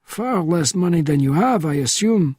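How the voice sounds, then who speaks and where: A man's voice: a little high, expressive, normal speed, darkish tone, breathy edge, no room sound, pitched above the performer's own voice. A man voicing a shrewd, wry Frenchman in his seventies with a dry, urbane manner for an audiobook story. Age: 70s. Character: elderly wry Frenchman, shrewd old ally.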